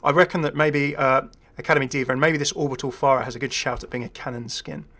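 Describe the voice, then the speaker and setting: A man's voice: medium pitch, steady tone, quick pace, pushed and bright. A man hosting a gaming video with casual, unscripted commentary. He is chatty and hesitant, thinking aloud and dropping wry asides.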